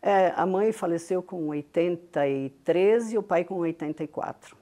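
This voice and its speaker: An older Brazilian-born woman with a heavy Italian Veneto-influenced accent, unhurried and reflective as an interview guest recounting her life. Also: lowish voice. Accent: Italian Veneto-influenced Brazilian Portuguese. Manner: unhurried, reflective, warmly proud. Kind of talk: reminiscing storytelling.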